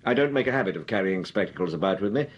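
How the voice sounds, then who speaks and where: A man's voice: deep voice, animated, racing, slightly rough, muffled recording. An actor playing a cool-headed, ironic male amateur detective in a radio drama. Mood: dry. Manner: dry, ironic. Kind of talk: 1940s radio acting.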